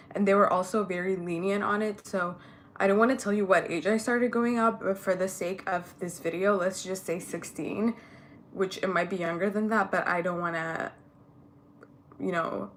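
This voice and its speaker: A woman's voice: fairly low pitch, a bit bright, a little hiss or hum. A young woman from Ethiopia whose first language is not English, chatting candidly to camera while getting ready, with many fillers. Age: young adult. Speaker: vlogger. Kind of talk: casual get-ready-with-me chat.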